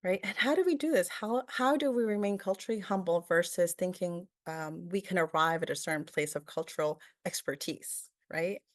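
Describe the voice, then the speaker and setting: A woman's voice: lowish voice, moderate pace, hardly any pauses, dry recording, studio quality. A woman from Peru giving a webinar presentation, thoughtful and full of fillers, often asking "right?".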